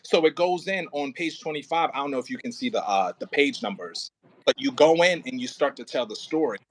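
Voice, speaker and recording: A man coaching an actor casually as an audio play director, thinking aloud in halting, repetitive phrases and sounding encouraging. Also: mid-range voice, animated, low-quality recording.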